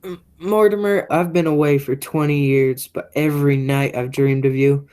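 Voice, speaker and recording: A woman's voice: deep voice, fairly even intonation, normal speed, mellow, clean tone, lightly acted. A young woman playing a menacing, murderous man in a radio play. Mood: cold, sinister. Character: menacing murderous man back after twenty years away. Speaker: high school theatre actor.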